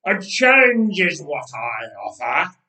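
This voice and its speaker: A man voicing a huge, menacing giant warrior, roaring out a challenge in a booming voice. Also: big pitch swings, heavily acted. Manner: slow, loud challenge. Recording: echoey room, distant microphone.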